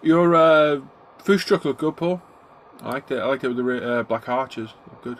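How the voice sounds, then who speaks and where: A man's voice: mid-range voice, normal speed, a little hiss or hum. A middle-aged man, hosting a casual live stream from his hobby room. He sounds bunged up from a cold and greets his viewers by name as he chats. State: congested, getting a cold.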